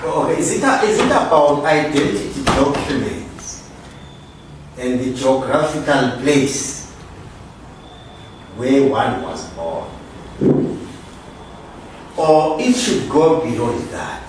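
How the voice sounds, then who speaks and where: A man's voice: mid-range voice, very animated, slow pace, long pauses. A man with a Zimbabwean accent giving a public lecture, posing rhetorical questions and questioning his audience. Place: lecture hall. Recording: echoey hall, from far off, faint noise.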